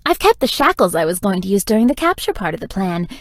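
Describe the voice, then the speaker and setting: A woman's voice: medium pitch, big pitch swings, fast, dry recording, clean studio sound. A woman voicing a flirtatious, playful, theatrical vampire in a video game, teasing and melodramatic. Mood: playful, confiding. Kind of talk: scripted video game character dialogue.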